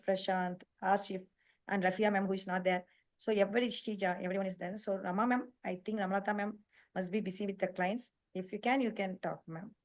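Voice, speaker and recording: A woman hosting a nutrition program on a video call, talking in a halting, repetitive way with emphatic lists. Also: medium pitch. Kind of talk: casual online meeting talk.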